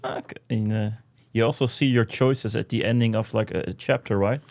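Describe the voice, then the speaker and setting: A man's voice: fairly low pitch, steady tone, brisk, darkish tone, no room sound. A man co-hosting a casual chat, laid-back, with short, hesitant comments and questions. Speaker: co-host.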